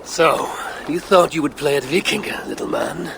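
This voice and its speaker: A man playing a proud, grim Norse Viking warrior in a video game, gravelly and terse. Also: fairly high pitch, lively intonation, moderate pace, over music. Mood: cold menace. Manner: terse, cool, threatening.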